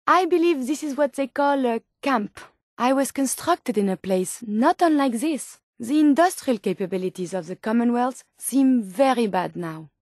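A woman's voice: on the high side, lively intonation, normal speed, no room sound, studio quality. A woman voicing a curious, polite female robot scientist in a video game, with precise, formal phrasing and earnest naivety. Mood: curious, matter-of-fact. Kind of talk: video game companion dialogue.

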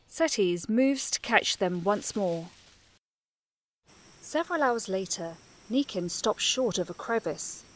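A woman's voice: mid-range voice, slight background noise. A woman narrating a scripted fantasy audio drama.

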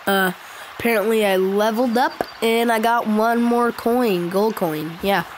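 Stuffed-up woman doing slow game commentary. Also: on the high side, slight background noise. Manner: hesitant, chatty, frequent ums and self-deprecating groans. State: stuffed-up nose. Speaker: gaming channel host. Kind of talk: casual let's play commentary. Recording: loud game music behind.

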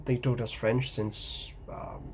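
A young man with an Egyptian accent, whose first language is Egyptian Arabic, talks to camera in a home vlog, pausing often with ums and restarts. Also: medium pitch, level tone, slight background noise. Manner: hesitant, many ums and restarts, gestures while talking. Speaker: language-learning vlogger. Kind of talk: casual talking-head vlog. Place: small room at home by a window.

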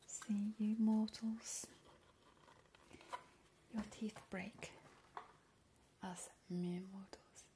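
A woman playing a sly, macabre immortal vampire who scorns mortals, whispering slowly and teasingly in an ASMR roleplay. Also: medium pitch, steady tone, noisy background. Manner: slow, teasing, drawn-out whispering. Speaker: ASMR host. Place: indoor kitchen.

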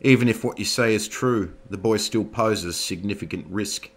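A man voicing a stern general presiding over a military hearing. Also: moderate pace. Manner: booming, authoritative.

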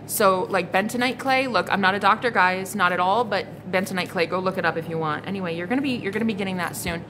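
A woman's voice: medium pitch, fast. A woman in her thirties doing a casual tarot reading to camera, blunt and exasperated, swearing freely and repeating herself. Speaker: psychic tarot reader. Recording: noticeable background noise.

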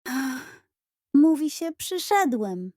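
A woman's voice: sits high, sing-song, very slow, dark tone, no echo, clean studio sound, pitched above the performer's own voice, exaggerated voice. A woman voicing a dignified, strict schoolteacher with a pedantic, correcting manner in a children's story. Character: dignified, strict female Polish teacher, exacting about rules.

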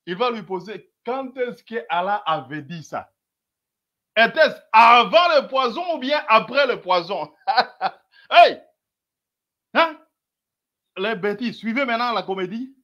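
Sarcastic high-voiced male commentator. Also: expressive, takes it slowly, long pauses, pushed and bright, lo-fi sound. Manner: sarcastic, emphatic, repeatedly urging listeners to follow. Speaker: host commenting on a replayed debate. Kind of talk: mocking commentary over replayed clips.

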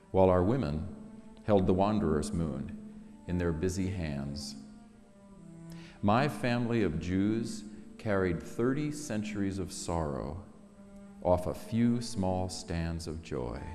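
A middle-aged man gives a solemn, dramatic poetry reading, measured and expressive, with weighty pauses. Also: low-pitched. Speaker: poet reading own work. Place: large synagogue sanctuary, at a lectern. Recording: echoey hall reverb.

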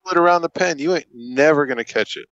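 A man's voice: on the high side, fast, a bit bright, no echo. A man telling anecdotes casually in a folksy, colloquial way, on slightly dull audio.

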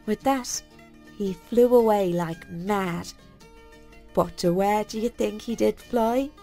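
A woman reads a bedtime tale for children. She is folksy and expressive, taking her time over each phrase. Faint music plays behind her. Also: mid-range voice, takes it slowly. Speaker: storyteller. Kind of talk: scripted bedtime story narration for children.

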